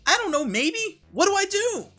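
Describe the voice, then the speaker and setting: An excitable young boy from a webcomic dub, played by a woman. The voice is high and bright, with an exasperated, exclamatory delivery. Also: normal speed, faint music behind, heavily acted. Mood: flustered. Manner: exclamatory, flustered. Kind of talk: fan comic dub acting.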